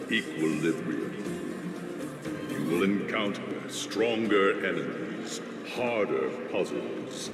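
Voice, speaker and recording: A man voicing a solemn, godlike game arbiter who makes grave, ceremonial proclamations in a gravelly voice. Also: sits low, full character voice. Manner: grave, ceremonial, halting delivery. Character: solemn, godlike cosmic arbiter speaking in riddles. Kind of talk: video game voice acting, portentous proclamation. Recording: long cavernous reverb under loud music.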